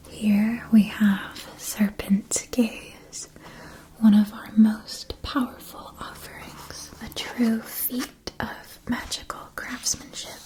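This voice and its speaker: A woman voicing a calm, knowing witch-school wand seller in a whispered, measured and formal ASMR roleplay. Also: on the high side, poor audio. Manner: measured, deliberate, formal salesmanship.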